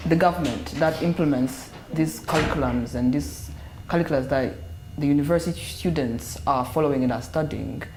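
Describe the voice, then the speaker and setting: Female panelist, low pitch, probing and deliberate, echoey room. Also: noisy background. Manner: probing, builds a question step by step. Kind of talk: panel discussion.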